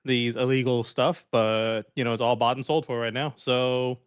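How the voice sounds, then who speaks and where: Podcast co-host, man, flat monotone, smooth and clear, dry close mic. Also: mid-range voice, normal speed, dry recording. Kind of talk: casual political chat.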